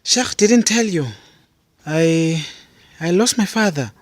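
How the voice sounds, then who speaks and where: A man's voice: high-pitched, animated, takes it slowly, no echo, clean studio sound. A man playing a grieving, subdued young student in an audio drama. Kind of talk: scripted audio drama acting.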